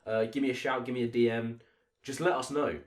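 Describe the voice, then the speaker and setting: A man's voice: mid-range voice, steady tone, fairly quick. A British man in his twenties, a tipsy podcast host chatting casually and rambling informally with friends. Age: 20s. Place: small makeshift recording room late at night. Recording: roomy, echoey home recording.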